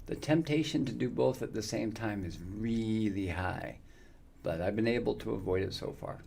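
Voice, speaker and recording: Gravelly fifty-something streamer, rambling chat. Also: male voice, fairly low pitch, normal speed, darkish tone, slight background noise. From United States. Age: 50s. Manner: friendly, rambling, many uh fillers. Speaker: gaming streamer. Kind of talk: casual live-stream chat with viewers.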